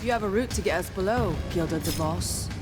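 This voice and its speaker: A woman's voice: on the low side, a little fast, close to an everyday voice. An actress playing a tough female gang leader in a video game, curt, cool and sardonic. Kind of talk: game cutscene character acting. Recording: music behind voice.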